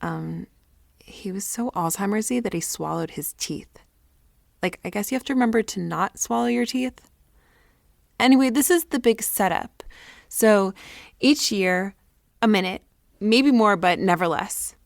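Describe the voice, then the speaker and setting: A woman playing an excitable, self-important teenage girl who rambles dramatically through a confessional audio diary. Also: medium pitch, a little slow, gravelly, pauses often, dry recording, studio quality. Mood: chatty, self-important. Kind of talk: confessional audio diary monologue, naturalistic acting.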